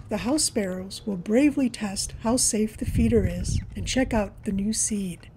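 A woman reading a scripted voice-over about nature in a gentle, measured storytelling manner. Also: medium pitch, background noise. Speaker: presenter of a backyard birding video for a library.

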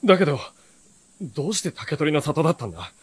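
An actor playing an earnest, sharp-witted teenage boy who is the hero and narrator of a radio drama. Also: a little high, big pitch swings, fast, husky, no room sound. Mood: puzzled. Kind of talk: radio drama acting with first-person narration.

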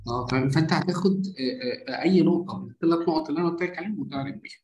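An Egyptian man leads a live marketing coaching session and rushes through his explanation at great speed. He walks through each step by asking himself questions and answering them. His voice bounces around a noticeably echoey room. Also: fairly high pitch, darkish tone, muffled recording. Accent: Egyptian Arabic. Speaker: marketing mentor.

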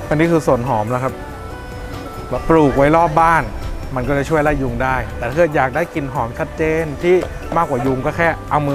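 Casual man explaining over clear music. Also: medium pitch. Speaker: vlog co-host. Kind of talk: casual explaining vlog chat.

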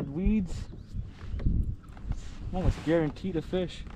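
A man's voice: fairly high pitch, slow pace. A man narrating his kayak fishing to camera in casual, short, colloquial remarks. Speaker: fishing vlog host. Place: outdoors in a kayak on a lake. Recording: noisy outdoor audio with water sounds. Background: water and kayak noise.

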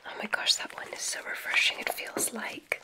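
A woman whispering an ASMR roleplay as a gentle witch examiner, guiding deliberately with soft, encouraging praise.

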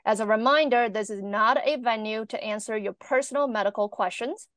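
A woman's voice: a little high, brisk, a bit bright, no room sound, studio quality. A woman moderating a medical webinar, reading speaker introductions and housekeeping notes in a formal way over a video call. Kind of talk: read introduction.

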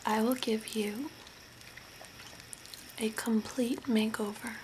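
An eerie, courteous zombie woman, played by a woman in an ASMR role play. She speaks in a breathy near-whisper, rather high, with slow, deadpan delivery. The amateur home recording is close up, with clear background noise. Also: rough recording. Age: young adult. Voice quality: soft, breathy, mostly whispered. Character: eerie, courteous female zombie makeover artist, hungry, deadpan. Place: small curtained room at home, indoors. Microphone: close to the camera.

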